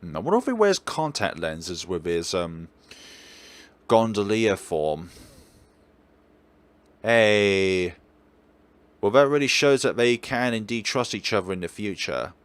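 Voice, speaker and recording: A man hosting a let's play, chatting through a visual novel in an animated way. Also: on the low side, a little slow, long pauses, no echo.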